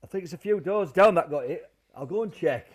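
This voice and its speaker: A man's voice: a little high, expressive, a little fast, a little hiss or hum, close to an everyday voice. An actor playing a stoical, caring British father during an air raid in a radio play, matey and reassuring. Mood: brisk, urgent.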